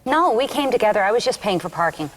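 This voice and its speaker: A woman's voice: on the high side, animated, quick pace, low-quality recording. An actress playing a persnickety city woman, precise and insistent, at a sitcom table read.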